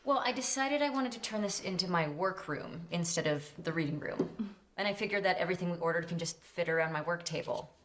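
A woman's voice: lowish voice, a little fast, lightly acted. An actress playing a friendly woman homeowner in a TV thriller film. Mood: friendly, planning.

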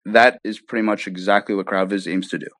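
A man's voice: lowish voice, fairly even intonation, fast, a bit bright, no echo, studio quality. A man being interviewed, explaining his work enthusiastically in a conversational way, often checking in with "right?". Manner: conversational, punctuates points with "right?" and "you know". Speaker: guest, app founder being interviewed.

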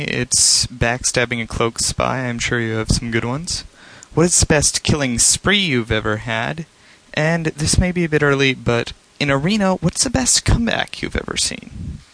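Man reading out a prepared message, slightly high. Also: big pitch swings, normal speed, hardly any pauses, a bit bright, rough recording. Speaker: listener sending in questions.

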